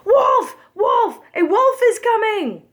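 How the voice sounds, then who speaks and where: A woman voicing a mischievous, panicky shepherd boy in a storybook, shouting out loudly. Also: very high voice, sing-song, takes it slowly, pitched above the performer's own voice, full character voice. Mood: mock alarm.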